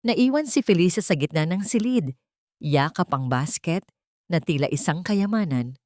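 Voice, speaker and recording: Low-voiced woman narrating drama. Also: expressive, normal speed, darkish tone, dry recording, studio quality. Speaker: story narrator. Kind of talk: dramatic scripted audio story narration.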